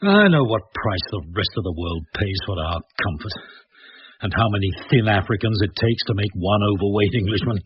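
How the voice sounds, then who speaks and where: A man's voice: on the low side, lively intonation, normal speed, lightly acted. An actor playing an earnest, self-questioning man in a radio drama. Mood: troubled. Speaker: troubled host of the house. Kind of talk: British radio drama acting. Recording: muffled old radio broadcast with faint hiss.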